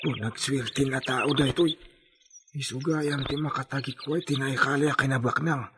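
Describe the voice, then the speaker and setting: A man's voice: mid-range voice, steady tone, normal speed, a bit bright, slight background noise, lightly acted. An actor playing an eager, deferential farmhand who speaks hesitantly to his master in a radio drama. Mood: amazed.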